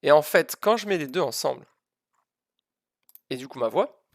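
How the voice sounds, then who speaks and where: A man's voice: a little high, animated, normal speed, creaky, dry recording, clean studio sound. A man giving an informal live physics lesson, hesitant and self-deprecating, repeating and stressing key words as he explains. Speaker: physics teacher streaming live.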